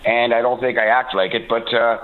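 A man in his sixties from Toronto, calling in to a radio show, talking haltingly with many fillers and restarts, a bit congested from a cold. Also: medium pitch, flat delivery, a little hiss or hum. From Toronto, Canada. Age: 60s. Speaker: caller. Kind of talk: call-in radio talk. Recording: tinny phone line.

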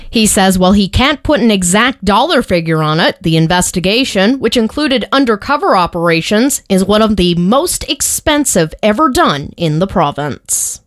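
A woman reading a radio news update in a measured, even scripted delivery. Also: mid-range voice. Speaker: newsreader.

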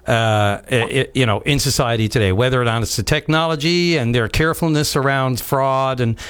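A man hosting a call-in radio show, earnest and halting, with lots of "you know" and restarts. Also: mid-range voice, a bit bright, clean tone. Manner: earnest, halting, with many fillers. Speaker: radio host.

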